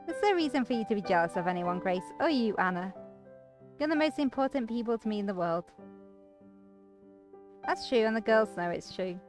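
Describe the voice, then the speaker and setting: A woman's voice: mid-range voice, lively intonation, brisk, long pauses, lightly acted. A woman voicing a guilt-ridden, earnest man while reading a visual novel aloud. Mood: earnest, fond. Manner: earnest, self-blaming. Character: guilt-ridden, brooding man with injured leg. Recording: music behind the voice.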